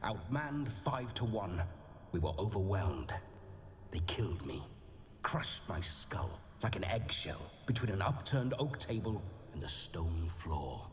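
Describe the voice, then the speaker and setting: Rowdy boastful ghost noble, swooping wildly in pitch, slow drawl, long hall reverb, music underneath. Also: male voice, very animated, slight background noise, heavily acted. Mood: jovial. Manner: jovial, boastful and theatrical. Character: boisterous ghost of an old nobleman brawler. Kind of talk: video game cutscene acting. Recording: game audio with reverb and music.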